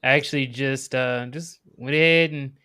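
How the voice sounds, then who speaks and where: Male caller, bright crisp voice, easygoing and halting. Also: mid-range voice. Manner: relaxed, hesitant, filler-heavy answers. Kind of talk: casual chat.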